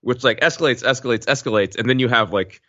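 A man co-hosting a podcast, analysing film casually in halting phrases and checking his points with "right?". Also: medium pitch, bright, forceful tone, dry recording, dull audio. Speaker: podcast co-host.

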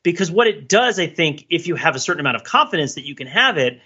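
A middle-aged man chatting casually as a podcast host, an animated storyteller who slips into imagined dialogue. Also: fairly high pitch, fast, husky, muffled recording. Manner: animated storyteller, acts out imagined dialogue, laughs while talking. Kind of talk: casual conversational podcast chat.

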